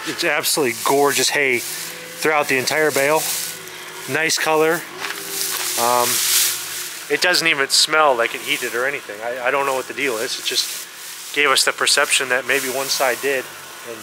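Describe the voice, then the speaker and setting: A young man filming himself in a hay barn on a phone at arm's length, chatting candidly to camera about his farm work. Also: medium pitch. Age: young adult. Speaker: farm vlogger. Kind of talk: casual vlog narration. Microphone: handheld phone camera at arm's length. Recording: noisy barn with engine hum.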